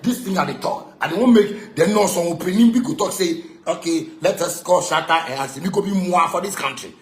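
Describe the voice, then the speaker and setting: A man with a Ghanaian accent delivering an angry, confrontational rant in Pidgin and broken English, repeating himself and throwing insults. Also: a little high. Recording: echoey room with background noise.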